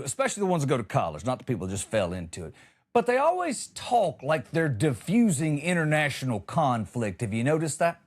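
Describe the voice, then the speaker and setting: A man hosting a comedy show, delivering a conversational monologue with exasperated, emphatic punchlines. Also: a little high.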